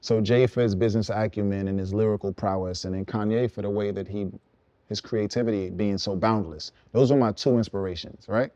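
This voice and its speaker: A young man from Brooklyn, a songwriter guest, explaining his path in relaxed, reflective casual conversation. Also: on the low side, brisk, no echo, highs cut off. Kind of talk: casual podcast chat.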